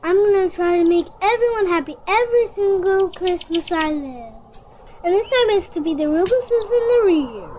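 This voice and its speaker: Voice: very high voice, lively intonation, normal speed, darkish tone, lo-fi sound, slight background noise. A young performer playing a sweet, earnest, eager young girl in a family audio drama, with quaint old-fashioned phrasing. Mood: earnest, bright.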